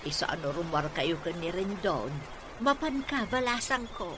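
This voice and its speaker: A woman's voice: on the low side, big pitch swings, normal speed. A woman with a northern Philippine accent plays an indulgent, encouraging aunt in a radio comedy drama. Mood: kindly coaxing. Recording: clear background noise.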